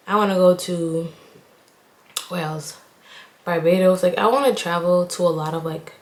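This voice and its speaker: A young woman from Haiti, a nursing student, chatting casually while getting ready and rambling with lots of "like". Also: fairly low pitch. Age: young adult. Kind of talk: casual get-ready-with-me chat. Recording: echoey room.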